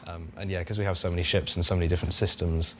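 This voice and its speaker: A man's voice: sits low, little pitch movement, racing, a bit bright, a little hiss or hum. A man speaking casually and unscripted as a guest in a discussion about his work. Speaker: show guest, game developer.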